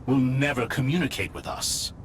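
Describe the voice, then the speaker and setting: An actor playing an ancient mechanical saint in a game, formal, skeptical and literal-minded. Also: male voice, mid-range voice, fairly even intonation, normal speed, a little hiss or hum, close to an everyday voice. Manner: questioning, formal. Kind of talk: scripted video game cutscene acting. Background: music.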